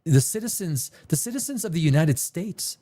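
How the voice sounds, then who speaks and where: Man, blunt profane opinion rant, lively swinging pitch, slightly high voice. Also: normal speed. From Canada. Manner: blunt and profane, with emphatic repetition. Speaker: livestream host. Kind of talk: opinionated livestream chat.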